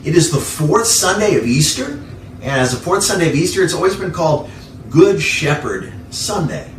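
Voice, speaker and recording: A man's voice: fairly high pitch, very animated, moderate pace. A man giving an informal sermon as a pastor, conversational, repeating key phrases for emphasis. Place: living room at home. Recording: echoey room, distant mic, faint noise.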